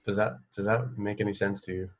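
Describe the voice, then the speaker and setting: A man's voice: on the low side, flat delivery, a little fast. A man interviewing in a conversational way, thinking aloud hesitantly and often rephrasing himself. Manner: hesitant, self-correcting, thoughtful. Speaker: radio interviewer.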